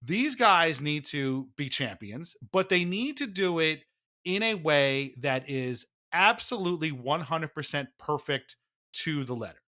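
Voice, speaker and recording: A man with a fairly high, bright and forceful voice co-hosts a sports podcast. He delivers opinionated commentary emphatically, with a wry note of exasperation. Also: expressive, unhurried. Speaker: podcast co-host.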